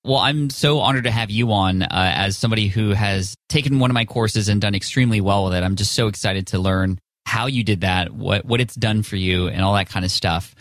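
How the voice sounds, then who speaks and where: A man's voice: lowish voice, fairly quick, few pauses, dry recording, studio quality. A man in his thirties hosting a podcast, upbeat and enthusiastic. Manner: enthusiastic, excitable. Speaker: podcast host.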